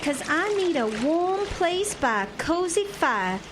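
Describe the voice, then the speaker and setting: A woman's voice: very high voice, big pitch swings, takes it slowly, exaggerated voice. A woman playing a young, feisty, teasing Texan wife in a radio play. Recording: clear water noise behind the voice.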